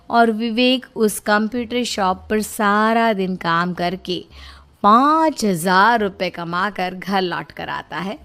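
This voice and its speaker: A woman's voice: medium pitch, fairly bright tone. A woman reading scripted narration for a moral story.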